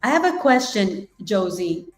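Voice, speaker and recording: A woman's voice: medium pitch, very animated, unhurried, echoey room, faint music behind. Effusive, encouraging female podcast host. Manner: effusive and encouraging, with many "right?" and "I love that". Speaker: podcast host, business coach. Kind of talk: casual interview conversation.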